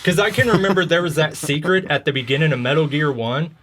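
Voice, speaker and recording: A man's voice: on the high side, quick pace, fairly bright tone. A man talking casually and with strong opinions as a podcast host discussing video games, telling stories informally.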